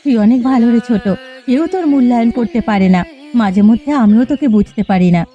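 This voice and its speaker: A woman voicing a caring elder sister-in-law in a village cartoon, coaxing and gently chiding. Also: a little high, quick pace, highs cut off, lightly acted. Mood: sympathetic. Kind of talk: Bengali cartoon fairy-tale acting.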